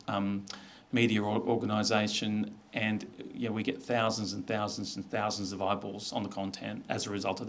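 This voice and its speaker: Low-pitched Australian man presenting. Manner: conversational, explanatory. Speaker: media executive presenting. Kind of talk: informal business presentation.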